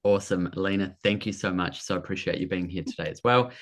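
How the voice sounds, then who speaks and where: A man's voice: low-pitched, quick pace. Upbeat man hosting a video-call workshop. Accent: non-American. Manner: upbeat, repeats welcomes and prompts. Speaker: workshop host. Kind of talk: enthusiastic live webinar hosting.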